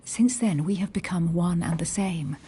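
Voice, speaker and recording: A woman's voice: lowish voice, normal speed, mellow, slightly rough. An actress playing a proud, guarded young woman agent in a dramatic video game, speaking with cutting, defiant self-assurance. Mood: confiding, earnest. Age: young adult. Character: guarded, proud, sharp-witted young female secret agent.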